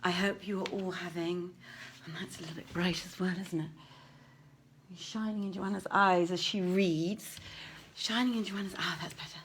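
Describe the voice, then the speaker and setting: A woman's voice: on the low side, fairly even intonation, moderate pace, slight background noise. A woman hosting an informal livestreamed evening reading, chatting directly to her audience as she welcomes them.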